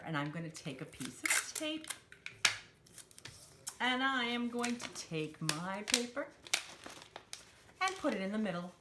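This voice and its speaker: Woman teaching kids, very lively pitch, noisy recording. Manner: simple, encouraging talk addressed to young children; refers to herself in third person. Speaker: children's storytime host and craft teacher. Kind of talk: children's craft demonstration.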